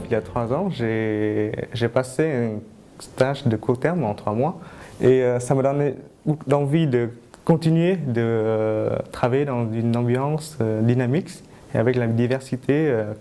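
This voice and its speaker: A man's voice: mid-range voice, a little slow, warm tone. Young man, careful delivery. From Vietnam. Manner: careful, hesitant with fillers. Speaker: master's student apprentice.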